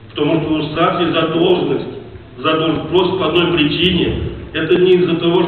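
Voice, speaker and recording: A man with a cold, hoarse, giving an emotional and reproachful speech to farmers at a meeting in a large hall. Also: a little high. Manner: reproachful, rambling, rising to indignation. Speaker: farmers' association head addressing a meeting. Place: large assembly hall with seated audience. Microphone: far camera in the audience rows. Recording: distant echoey hall, tinny, faint noise.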